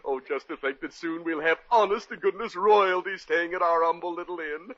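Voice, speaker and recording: An actor playing a fussy, excitable hotel night manager, prissy and mock-polite, in 1950s radio comedy. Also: male voice, on the high side, moderate pace, lightly acted. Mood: fawning excitement. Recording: dull, dry old radio recording.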